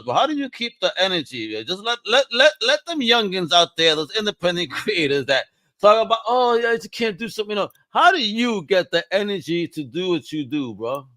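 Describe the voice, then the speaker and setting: A man's voice: high-pitched, sing-song, fairly quick, pushed and bright, no room sound. A man with a Puerto Rican accent hosting a podcast interview, talking in an enthusiastic, slangy, hyped-up way. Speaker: podcast host. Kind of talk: casual interview chat.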